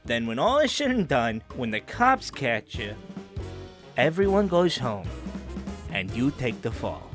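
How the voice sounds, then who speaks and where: A man's voice: medium pitch, sing-song, unhurried, music in the background. A man playing a friendly, teasing crook in prison in an audio drama, talking in a playful, colloquial way. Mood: curious, scheming. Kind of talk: audio drama character acting.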